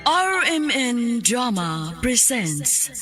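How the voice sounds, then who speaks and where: Slow Cebuano Filipino woman drama announcer. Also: on the high side, very animated, a bit bright. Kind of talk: dramatic scripted narration. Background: music.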